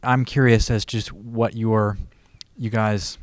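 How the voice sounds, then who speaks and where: A man's voice: fairly low pitch, fairly even intonation, slow pace, no room sound. A man hosting an interview conversationally, with tentative, rambling phrasing. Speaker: interview host.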